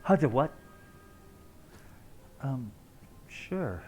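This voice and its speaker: A man's voice: mid-range voice, big pitch swings, very slow, warm tone, a little hiss or hum, poor audio. A man streaming a game, commenting casually and playfully as he reacts aloud. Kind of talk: casual live gameplay commentary.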